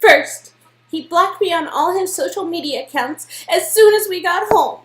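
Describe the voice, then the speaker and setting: A woman performs a distraught, lovesick young woman from a story game. Her voice is extremely high and she presses every point with insistent emphasis. The room adds a noticeable echo. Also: normal speed, a bit bright. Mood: desperate, insistent. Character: distraught, frantic young woman obsessed with her vanished boyfriend. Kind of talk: amateur dramatic reading of game dialogue.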